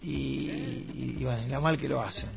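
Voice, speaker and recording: A man's voice: mid-range voice, steady tone, moderate pace, creaky, faint music behind. A man with an Argentine accent, hosting a radio magazine in casual, opinionated chat and laughing often. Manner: laughs often, indignant asides. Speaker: radio host.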